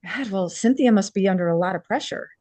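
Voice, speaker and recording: A woman's voice: mid-range voice, fast talker, warm tone, dry recording, close to an everyday voice. Woman playing earnest homecoming designer, dry sound. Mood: friendly, chatty. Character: warm, earnest female costume designer back in her hometown. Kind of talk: screenplay table read.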